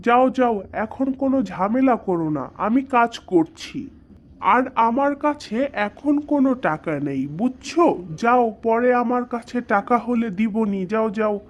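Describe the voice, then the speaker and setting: Woman playing a grumbling, high-pitched cartoon dad. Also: expressive, unhurried, lo-fi sound. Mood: dismissive. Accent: Bangladeshi. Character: grumbling, evasive cartoon father dodging his child's demands. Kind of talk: comic cartoon dialogue.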